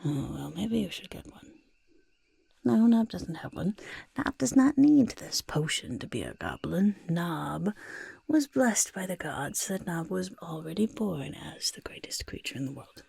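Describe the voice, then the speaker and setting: A woman voicing a boastful, evasive goblin potion-maker in soft-spoken, breathy ASMR roleplay, fussing over the listener and hesitantly backpedalling. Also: fairly low pitch, very animated, unhurried, no echo, heavily acted. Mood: proud, boastful. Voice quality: breathy, husky near-whisper. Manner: refers to self in third person, hesitant backpedalling.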